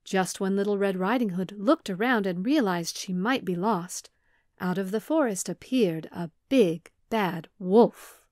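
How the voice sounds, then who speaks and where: Lady reading a kids story, expressive storyteller, mid pitch, dry clean room sound. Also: a little slow, no room sound. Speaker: children's librarian, storyteller. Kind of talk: scripted storytelling for children.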